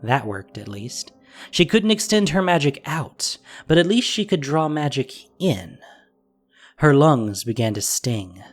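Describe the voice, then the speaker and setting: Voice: medium pitch, big pitch swings, unhurried, soft background music. A narrator reading a scripted fantasy story in a dramatic audio drama style.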